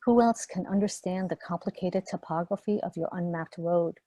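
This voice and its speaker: A lower-pitched woman with a soft, mellow tone reads her prose aloud over a video call. The sound is close and dry. She moves through the piece in a measured, poetic rhythm. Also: dry recording. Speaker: author reading her work. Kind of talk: lyrical reading aloud.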